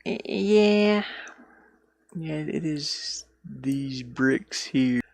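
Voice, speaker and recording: A man giving casual, easygoing devlog commentary as an indie game developer, halting and thinking out loud while he works. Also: a little high, expressive, vocal fry, dry recording. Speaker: indie game developer showing his own game.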